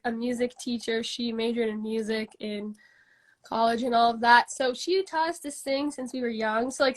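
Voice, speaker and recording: A woman in her twenties with a congested voice, chatting casually as an interview guest, full of "like" and "um" fillers. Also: high-pitched. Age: 20s. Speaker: singer-songwriter guest.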